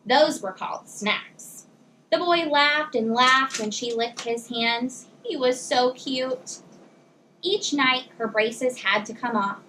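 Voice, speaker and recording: Female storyteller, high voice, teacher-style expressive read-aloud for kids, slightly noisy recording. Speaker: teacher reading a children's book aloud.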